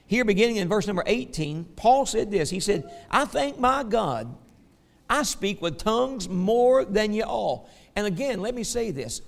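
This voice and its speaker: A man's voice: sits high, creaky. Man, Southern American accent, Bible teacher, folksy, emphatic scripture reading, long hall reverb. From Southern United States. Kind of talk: Bible study lesson.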